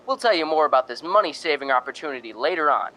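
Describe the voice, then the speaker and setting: A man's voice: a little high, animated, quick pace, bright, forceful tone. A man announcing a radio suspense show and its sponsor in an emphatic, old-time broadcast style.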